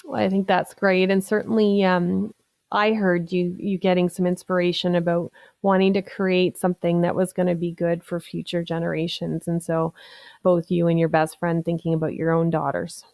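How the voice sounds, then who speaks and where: Woman, podcast host, encouraging, recaps guest's points, gravelly, dry sound. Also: on the low side, little pitch movement, moderate pace, a little mellow, no room sound. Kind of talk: interview hosting.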